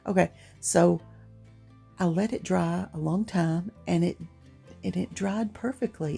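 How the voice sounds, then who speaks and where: Gruff middle aged lady doing a craft voiceover, kinda mellow and chatty, rambles along, faint music behind. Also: on the low side. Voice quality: gruff, gravelly. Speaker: craft vlogger. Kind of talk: casual craft tutorial voice-over.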